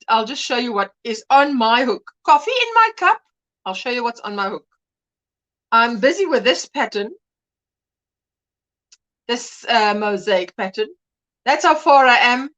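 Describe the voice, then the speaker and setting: Slow-talking high-voiced woman host. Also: a bit bright. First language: mixed English and Afrikaans home language. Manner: chatty, greets viewers by name, laughs often. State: drowsy from pain tablets. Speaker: livestream host. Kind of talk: casual chat with viewers.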